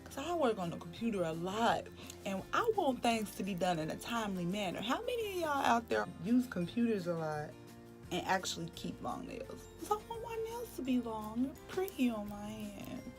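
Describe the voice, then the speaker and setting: Raspy, gravelly-voiced woman in her late twenties. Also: fairly high pitch, sing-song, normal speed. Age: late 20s. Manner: chatty and candid, addressing viewers as y'all. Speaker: vlogger. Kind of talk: casual morning vlog chat. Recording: music playing behind.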